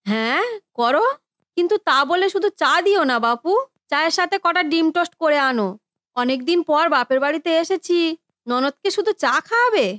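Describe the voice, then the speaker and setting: A woman's voice: high voice, animated, normal speed, fairly bright tone, dry recording, clean studio sound. A woman playing a bossy, demanding eldest sister-in-law in a cartoon family drama, brusque and entitled in manner.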